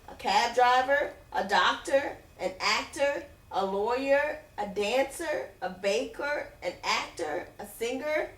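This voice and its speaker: A woman reading a children's story aloud in an expressive, dramatic way, drawing out words for effect. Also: high voice. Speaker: picture book reader. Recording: roomy echo, distant from microphone.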